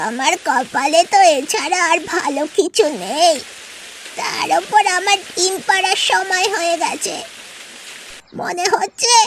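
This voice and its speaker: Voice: very high-pitched, a bit bright, a little hiss or hum. A performer voicing a sly, lazy female crow in a cartoon story, plaintive and wheedling, with caws. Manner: plaintive, wheedling, breaks into cawing.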